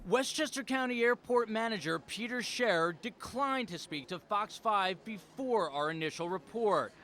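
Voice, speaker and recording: A man's voice: high-pitched, animated, normal speed, pushed and bright. A man narrating a scripted investigative television news report as a reporter.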